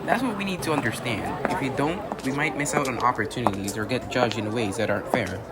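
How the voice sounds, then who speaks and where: A man's voice: mid-range voice, fast talker, loud music behind the voice, a loud crowd, close to an everyday voice. A man playing an earnest, studious student in an amateur radio drama, explaining ideas conversationally and often checking in with a "right?". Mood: earnest, sympathetic. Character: earnest, academically-driven male student explaining ideas to classmate. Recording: noisy outdoor ambience.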